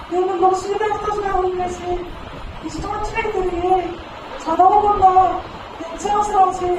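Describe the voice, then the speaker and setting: Squeaky, excitable little boy puppet. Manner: exclamatory, whiny, dramatic. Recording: echoey, distant, noisy live show with crowd.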